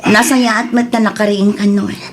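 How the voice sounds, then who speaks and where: A woman's voice: mid-range voice, steady tone, normal speed, a little hiss or hum, natural voice. A woman with an Ilocano Filipino accent playing a long-suffering, submissive young wife in an audio drama. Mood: gentle reproach.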